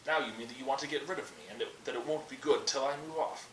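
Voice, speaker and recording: A wry old wandering wizard of storybook kind acted out by a male reader. He is direct and pedantic, in a bright voice recorded a little off the mic in a roomy space. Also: mid-range voice, fast, pitched above the performer's own voice, close to an everyday voice. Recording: roomy, distant webcam mic with noise.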